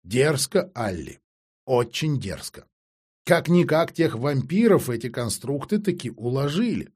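Man voicing a quiet, sultry, bold sorceress. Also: medium pitch, big pitch swings, measured pace, slightly rough. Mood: admiring, sly. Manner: quiet, firm.

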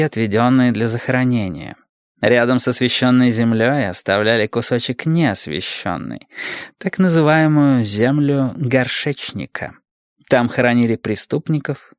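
A man voicing a calm, cultured, ancient male guardian from another world, velvety and formal, with measured, deliberate phrasing. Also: medium pitch, no room sound, studio quality.

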